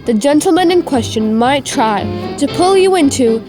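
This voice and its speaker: A young woman reading scripted narration for a student radio play, measured and storytelling. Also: high-pitched, animated. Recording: loud music bed behind.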